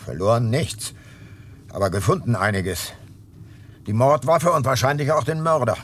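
An actor playing a dogged, wry private detective talking in a dry, matter-of-fact way in a crime radio drama. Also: male voice, medium pitch, normal speed, fairly bright tone, natural voice. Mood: explaining, intent. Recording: noisy background ambience.

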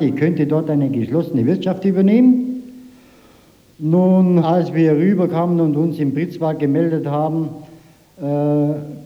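Higher-pitched man on dull, echoing tape. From Munich, Bavaria, Germany. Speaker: farmer interviewee. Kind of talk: recounting his experience in an interview. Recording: dull, reverberant archive tape.

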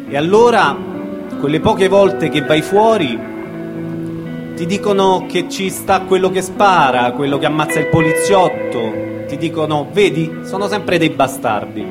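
A man speaking as a guest reader at a stage event, presenting a testimony aloud to an audience. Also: on the high side. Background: guitar music.

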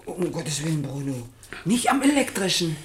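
An actress playing an anxious, fussing older village wife in a German radio play, pleading and fretful. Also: female voice, deep voice, very animated, normal speed, a little hiss or hum.

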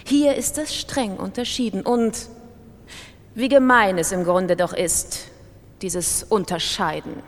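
A woman reading as a compulsive gambler in a literary radio drama, analytical, scornful and self-justifying, with deliberate, emphatic phrasing. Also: mid-range voice, fairly even intonation. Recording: long hall reverb.

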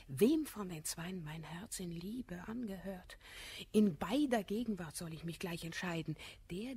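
A woman's voice: mid-range voice, darkish tone, husky, heavily acted. An actress playing an elegant, evasive coquette in a classical verse radio comedy, haughty and refined, declaiming her lines.

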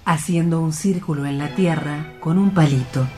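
A man's voice: on the high side, steady tone, moderate pace, mellow. Male radio play narrator over music. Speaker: narrator reading stage directions. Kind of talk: scripted radio theatre narration.

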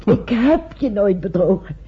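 An actress playing a desperate, remorseful wife in a radio play, imploring in a halting way. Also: female voice, medium pitch, sing-song, slightly rough, full character voice. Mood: desperate pleading. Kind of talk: Dutch radio play acting. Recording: dull old radio recording.

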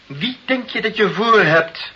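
Sharp-voiced, officious director. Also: male voice, high-pitched, animated, measured pace, exaggerated voice. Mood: stern, haughty. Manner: officious, condescending. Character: stern, officious, scheming director, an authority figure. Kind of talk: radio play acting. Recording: dull vintage radio recording with hiss.

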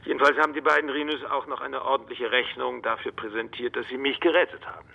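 A man playing a matter-of-fact ex-detective in a radio play, with a rough, husky voice. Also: medium pitch, steady tone, fast, narrow, tinny audio. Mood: explaining, casual. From Netherlands. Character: male Dutch ex-detective reporting by phone, matter-of-fact.

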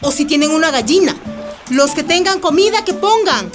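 Earthy, outspoken female community leader, radio drama. High, very lively delivery over music. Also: normal speed. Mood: rallying, decisive. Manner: folksy, emphatic, decisive. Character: earthy, outspoken older neighbourhood matriarch rallying the women. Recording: music playing behind.

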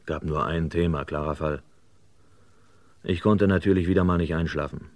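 A man's voice: low voice, fairly even intonation, moderate pace, a little mellow, dry recording. An actor playing a restless reporter who narrates his own story in terse first person, in a vintage crime radio play. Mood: laconic, reflective. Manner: terse, laconic.

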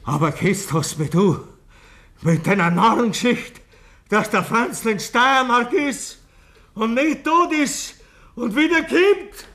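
A man's voice: extremely high pitch, slow pace, fairly bright tone, exaggerated voice. An actor playing a guilty, bullying village man with a Bavarian accent, badgering with taunting questions in a 1950s dialect radio play. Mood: agitated, furious.

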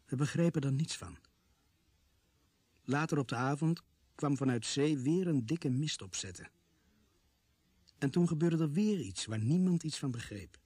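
An actor playing a sober policeman who narrates a radio play in measured, suspenseful phrases. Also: on the high side, lively intonation, darkish tone, breathy edge, no echo. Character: sober policeman narrator recounting a murder case.

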